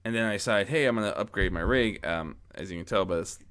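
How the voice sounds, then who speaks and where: A man talking casually and reflectively to his followers as an amateur story narrator, rambling and correcting himself as he goes, close on a boom-arm mic. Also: quick pace, fairly bright tone, smooth. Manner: rambling, self-correcting, frequent false starts. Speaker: amateur audio story narrator and channel host. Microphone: close mic on boom arm with pop guard.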